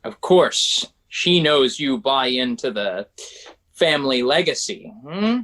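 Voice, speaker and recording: A man's voice: mid-range voice, animated, takes it slowly, fairly bright tone, poor audio. A man playing a profit-minded, needling bank colleague in a musical table read, with an insinuating, pointed manner.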